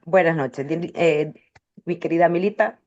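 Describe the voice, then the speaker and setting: A woman's voice: lowish voice, unhurried, darkish tone, dull audio. A woman hosting a health testimonial session, talking in loose run-on phrases with frequent restarts. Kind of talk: warm conversational hosting.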